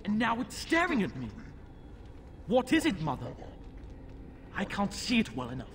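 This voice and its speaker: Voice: medium pitch, wildly swooping pitch, moderate pace, slight background noise. A performer playing a demon-possessed young boy in a fantasy game, haughty, mocking and commanding. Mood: imperious curiosity.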